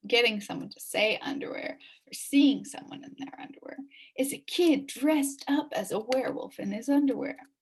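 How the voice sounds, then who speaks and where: A woman reads a children's story with theatrical flair. Her voice sits fairly high and moves around a great deal, with some fry and a slightly husky grain. She leans into the drama and suspense as a storyteller would for young listeners. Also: poor audio. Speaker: story reader. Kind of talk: expressive children's storybook reading in first person.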